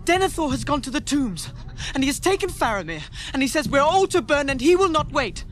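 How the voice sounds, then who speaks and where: A man's voice: very high-pitched, sing-song, fairly quick, fairly bright tone, full character voice. A British man playing a frightened, earnest small young fellow in a radio fantasy drama, pleading anxiously. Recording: radio drama, faint background noise.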